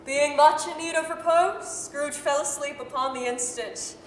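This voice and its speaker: A woman playing the narrator of a Victorian ghost tale, reading scripted narration in 1930s radio drama style. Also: a little slow. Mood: storytelling. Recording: echoey hall with faint rumble.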